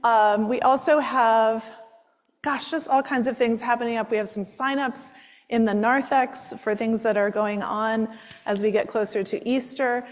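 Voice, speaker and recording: A woman's voice: on the high side, fairly even intonation, measured pace. A woman priest leading a church service and giving announcements in a chatty way, with frequent fillers, on a microphone in a church. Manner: chatty, self-interrupting with fillers. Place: church sanctuary. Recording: long church reverb.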